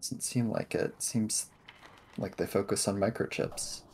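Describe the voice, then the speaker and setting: Guy doing a careful kid detective in a tabletop game, low and husky, kinda hesitant. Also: unhurried, a little mellow. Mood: tentative. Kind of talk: improvised tabletop roleplay.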